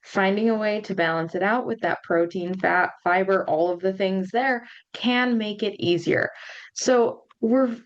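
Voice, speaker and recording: Woman in her thirties, casual solo podcast host, a bit hoarse, chatty with ums, slightly dull lo-fi sound. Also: mid-range voice, a little slow. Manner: chatty, conversational, frequent ums and likes. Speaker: podcast host and postpartum nutrition coach.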